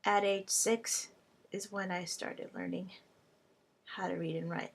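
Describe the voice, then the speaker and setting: A woman whose first language is Hungarian, a language tutor speaking to camera in a personal vlog, in short, halting phrases. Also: medium pitch, fairly even intonation, gravelly.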